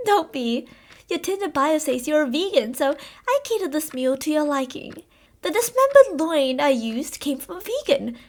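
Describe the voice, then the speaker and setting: A woman playing an unhinged, gloating cannibal killer in a scripted ASMR roleplay, with a mock-sweet, theatrical, taunting and drawn-out delivery. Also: sits high. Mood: mock-sweet, teasing.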